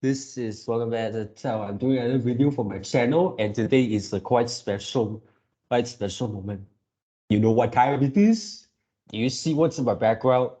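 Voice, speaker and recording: A man in his early twenties talking casually to viewers as a channel host, in a halting way, often repeating and rephrasing himself. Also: mid-range voice, mellow. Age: early 20s. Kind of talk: casual reaction video talk to viewers.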